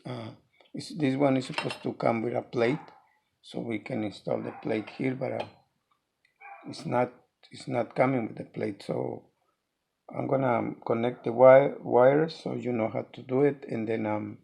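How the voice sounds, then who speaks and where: A man presenting an unscripted hands-on how-to, speaking haltingly and repeating words as he works, with noise and clicks behind him. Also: mid-range voice, dark tone. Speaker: DIY tutorial presenter. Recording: clear background noise with clicks.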